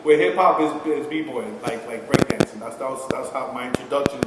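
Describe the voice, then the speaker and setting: A man's voice: mid-range voice, a little fast. A man, a podcast guest, telling his life story in a loose, laid-back way, laughing and swearing often. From born in Texas, raised in Colombia and New York. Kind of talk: casual chat. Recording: echoey room from far off, faint noise.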